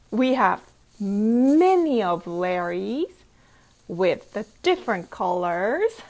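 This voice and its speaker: Animated, high-pitched woman reading to children. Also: rough recording. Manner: animated, playful, with exclamations and sound effects; repeats phrases slowly for young learners. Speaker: English teacher reading a picture book aloud to children. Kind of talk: interactive children's read-aloud with questions.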